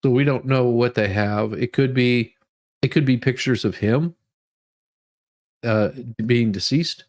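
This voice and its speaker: Male streamer, medium pitch, reads documents aloud with loose, informal, repetitive asides. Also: unhurried, pauses often, darkish tone. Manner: informal, rambling asides, repeats words. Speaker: livestream host. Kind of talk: dramatic reading of legal documents with casual commentary.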